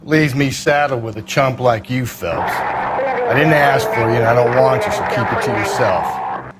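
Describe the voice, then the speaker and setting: A man's voice: mid-range voice, big pitch swings, measured pace, over loud music. An American man playing a cynical veteran homicide detective in a video game, blunt, world-weary and sardonic. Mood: scornful. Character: jaded, gruff male veteran homicide detective, cynical and dismissive. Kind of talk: cinematic video game acting.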